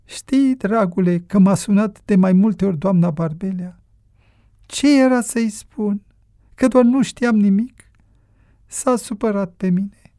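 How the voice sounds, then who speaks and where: Narrator acting out weary old mother, raised pitch, mellow, plaintive, sorrowful, audiobook drama. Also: no room sound, clean studio sound, lightly acted. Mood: troubled. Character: worried, sorrowful elderly mother, gentle and weary.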